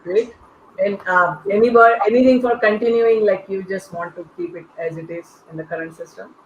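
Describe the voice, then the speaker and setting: Host, medium pitch, informal, halting, roomy sound, muffled recording. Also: fairly even intonation. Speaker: panel moderator and host. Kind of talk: informal panel hosting. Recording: echoey, dull online call with faint noise.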